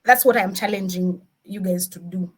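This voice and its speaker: A woman with a Kenyan accent speaks at an average pitch in an informal, motivational style. She slips easily between two languages and drives her points home with emphatic rhetorical questions. Her manner is animated and encouraging, that of an experienced engineer mentoring newcomers. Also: moderate pace, slightly rough. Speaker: senior DevOps engineer, guest speaker. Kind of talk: informal motivational career talk, code-switching into Swahili.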